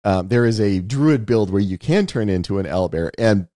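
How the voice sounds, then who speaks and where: A man's voice: lowish voice, animated, moderate pace, mellow, dry recording, studio quality. A man co-hosting a casual film discussion, explaining his points emphatically and often rephrasing them. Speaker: podcast co-host discussing films.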